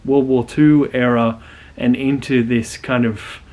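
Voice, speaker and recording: Australian male host, young adult, mid-pitched, chatty and earnest, loose unscripted delivery. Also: fairly even intonation, slow, darkish tone. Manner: rambling and earnest, many fillers and restarts. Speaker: channel host. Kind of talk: casual talking-to-camera channel intro.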